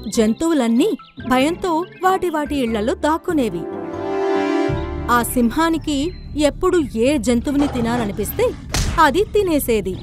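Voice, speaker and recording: A woman reading scripted narration for a children's story. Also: high voice.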